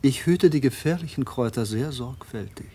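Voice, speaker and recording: Husky, courteous monk herbalist. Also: male voice, mid-range voice, moderate pace, warm tone. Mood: guarded. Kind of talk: German radio drama acting.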